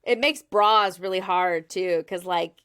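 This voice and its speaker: A woman's voice: lowish voice, a little slow, a bit bright, smooth. A woman in her thirties hosting a casual chat, laughing often and explaining her research step by step. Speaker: podcast host presenting research.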